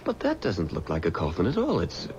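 An actor playing an earnest, courteous undertaker's assistant in a 1970s radio drama, emphatic and a little halting. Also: male voice, deep voice, wildly swooping pitch, exaggerated voice. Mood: flustered, polite. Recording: dull, noisy old radio recording.

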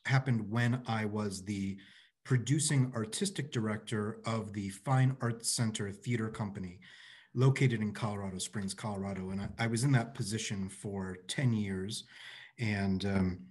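A man in his forties giving a prepared panel presentation on a video call from an office, measured and pausing between phrases. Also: lowish voice, steady tone, darkish tone, husky. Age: 40s. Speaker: panelist, arts director. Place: office by a window, indoors. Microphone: video call computer microphone.

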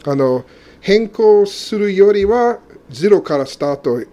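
Halting man, mellow voice. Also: sits high, lively intonation. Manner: halting non-native speech with frequent restarts and laughs. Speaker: co-host. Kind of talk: casual radio chat.

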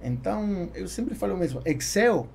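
High-pitched Argentine man, gravelly edge. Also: animated, normal speed, a little mellow, a little hiss or hum. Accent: Argentine Spanish. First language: Spanish. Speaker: entrepreneur, podcast guest. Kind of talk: conversational interview answers. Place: small foam-padded podcast studio. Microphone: studio microphone on boom arm.

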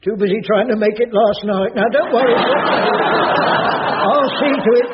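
A jobsworth caretaker in an old radio comedy, pedantic and indignant. His voice is very high for a man and drawn out in fuss over rules. The recording is muffled, with hiss and a studio audience behind. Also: a little slow, over a noisy crowd. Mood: servile. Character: fussy jobsworth old caretaker, officious and rule-loving. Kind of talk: vintage radio sitcom acting.

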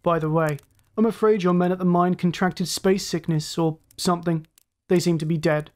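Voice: mid-range voice, steady tone, moderate pace, close to an everyday voice. A narrator voicing a cynical giant of a pirate captain with smug, mocking courtesy in a science fiction story. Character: cynical swarthy giant pirate ship master, gloating.

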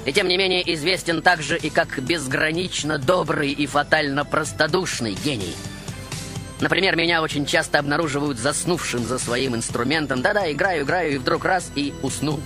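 A man playing a proud, stubborn baroque composer, telling his story as a theatrical radio monologue with rhetorical pauses. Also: fairly high pitch, music in the background, natural voice. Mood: playful, confiding. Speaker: radio show host in character. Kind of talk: dramatic first-person radio monologue.

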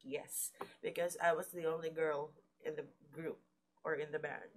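A young woman vlogging casually at home, hoarse and low-voiced from coughing, rambling slowly with fillers and pauses. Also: flat delivery. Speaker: vlogger and mandolin player. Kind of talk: casual chat to camera. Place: small room indoors. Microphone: webcam or laptop at desk.

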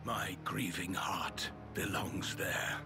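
Weary, deep-voiced old commander. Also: male voice, takes it slowly. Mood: grieving. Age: older. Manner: grave, blunt, weary. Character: weary, gruff older male military commander, grieving and blunt. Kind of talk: acted video game cutscene dialogue. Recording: music behind the voice.

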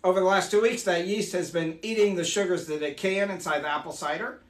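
A man in his forties, hoarse from losing his voice, chatting upbeat as he demonstrates mead making live in a small room. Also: high-pitched, brisk, reverberant. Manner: chatty, upbeat, explains as he works. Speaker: meadery owner hosting a live stream. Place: small home meadery room, indoors.

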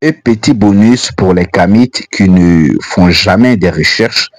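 A man's voice: low voice, measured pace, no echo. An African man whose first language is not French, reading an earnest, admonishing voice-over commentary for a religious channel. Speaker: Islamic channel narrator.

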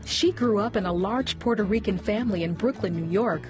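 A woman's voice: mid-range voice, brisk. Polished woman narrator over music. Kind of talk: scripted TV intro narration.

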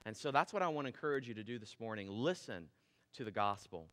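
A man in his thirties preaching a casual, animated sermon on a church stage. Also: on the low side, fast. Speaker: pastor. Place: church stage with drum kit. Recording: long hall reverb in church.

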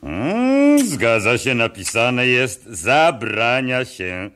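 An actor playing an excitable, officious policeman in a children's audio play, with a blustering manner. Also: mid-range voice, drawn-out words, heavily acted. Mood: grudging, officious.

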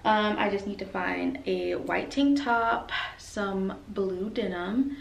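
A woman's voice: mid-range voice, steady tone, takes it slowly, creaky. A young woman in her twenties chatting upbeat and casual as a fashion vlogger, talking to a camera across her bedroom. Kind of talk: casual vlog chat. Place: bedroom at home, indoors. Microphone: camera across the room. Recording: roomy, from a distance, faint hiss.

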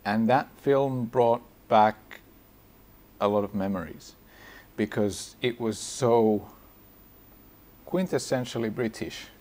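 A man discusses film casually as a podcast co-host, in a hesitant, halting way. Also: fairly low pitch, darkish tone. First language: Finnish. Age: 40s. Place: small indoor stage with curtains, seated at a table.

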